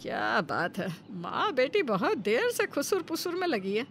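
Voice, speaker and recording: A woman's voice: on the high side, wildly swooping pitch, a little slow, gravelly, a bit bright, slight background noise, full character voice. A woman voicing a suspicious, sarcastic middle-aged mother-in-law in a cartoon story, dramatic and grumbling.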